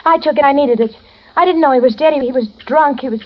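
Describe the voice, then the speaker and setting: A woman's voice: high voice, fast, lightly acted. An actress playing a desperate young woman pleading as a witness in a vintage radio drama, stammering and insistent. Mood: frantic. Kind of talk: 1940s-50s radio drama acting. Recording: muffled old radio recording.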